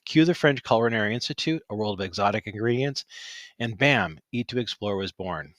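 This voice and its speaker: An older male host opens his show with a scripted, punchy introduction. His voice sits on the low side, and the recording is close and completely dry, with no room sound at all. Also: animated, a little fast, fairly bright tone, no echo. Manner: upbeat, punchy delivery. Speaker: podcast host.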